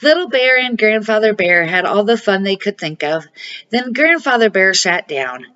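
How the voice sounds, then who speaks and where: A woman's voice: medium pitch, animated, normal speed, fairly bright tone, highs cut off. An older woman reading a children's storybook aloud in a homely, amateur way. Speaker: storybook reader.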